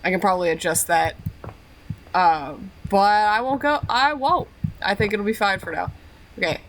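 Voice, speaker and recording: A woman's voice: medium pitch, normal speed, slight background noise, low-quality recording. A woman with a slight cold, chatting casually while playing a video game, with lots of fillers. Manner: chatty, filler-heavy, giggly. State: has a cold. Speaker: let's play streamer. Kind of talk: casual gameplay commentary.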